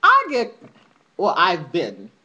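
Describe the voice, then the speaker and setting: A woman's voice: on the low side, huge pitch swings, slow, sharp tone, highs cut off. A woman ranting in a casual vlog, sassy and emphatic, calling her viewers honey. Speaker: vlogger.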